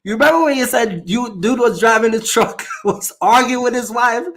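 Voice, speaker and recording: A man's voice: extremely high pitch, normal speed, a bit bright, slight background noise. A man from Jamaica with a Jamaican accent, hosting a casual podcast chat and making his points in a blunt, emphatic, repetitive way. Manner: emphatic, blunt, repeats himself. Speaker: channel host.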